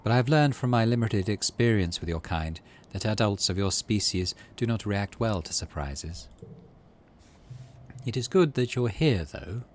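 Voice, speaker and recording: Guy doing a polite alien dude from a video game, low voice, very formal and measured, bit of noise behind. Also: animated, natural voice. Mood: earnest, discreet. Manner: formal, measured, courteous phrasing. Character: polite, mirthful male alien, formal and secretive.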